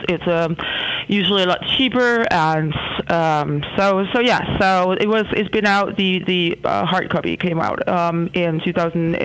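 Halting Danish-accented woman on the phone. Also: fairly low pitch, a little hiss or hum, rough recording. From Denmark. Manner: hesitant, halting delivery. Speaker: interview guest, philosophy professor. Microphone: telephone.

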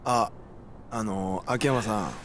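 A man's voice: fairly low pitch, slow pace, a bit bright, a little hiss or hum. An actor playing a guarded young male junior gangster, polite, hesitant and placating, in a video game cutscene.

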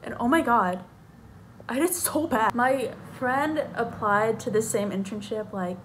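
Young woman vlogger, bubbly and chatty, slightly high voice, phone-mic sound with faint background noise. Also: unhurried. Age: young adult. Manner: bubbly, chatty, lots of fillers, laughs often. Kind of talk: casual vlog chat. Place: small bedroom, then parked car. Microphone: phone camera at arm's length.

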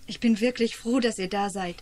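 An actress playing a worried, grave mother in a radio play, with an anxious, hushed manner. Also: mid-range voice, brisk, lightly acted. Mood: relieved worry.

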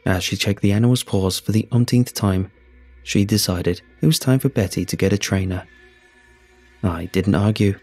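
A man narrating a scripted first-person horror story in a measured, solemn, husky voice. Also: fairly low pitch, darkish tone. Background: music.

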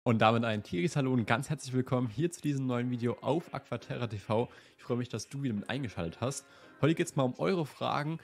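A man in his twenties with a slight cold, hosting a relaxed question-and-answer vlog in a chatty, easygoing way. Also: mid-range voice, fast talker, gravelly. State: slightly ill. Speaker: host. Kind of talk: casual Q&A vlog. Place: indoor room with plant wall and lit shelves.

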